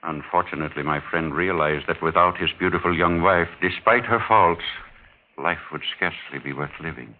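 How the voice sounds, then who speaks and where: Wealthy older gentleman male actor, 1940s radio mystery: very low, measured, quietly menacing, genteel. Muffled, lightly noisy vintage recording. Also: lightly acted. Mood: sly, controlled. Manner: measured, formal, insinuating, falsely genial. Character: wealthy, older husband, suave, quietly menacing mining engineer.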